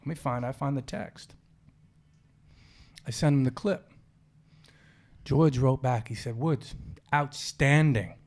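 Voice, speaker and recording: A man's voice: medium pitch, takes it slowly, pauses often. A man in his forties hosting a sports podcast, chatting casually in an opinionated, slangy, storytelling way. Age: 40s. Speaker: podcast host.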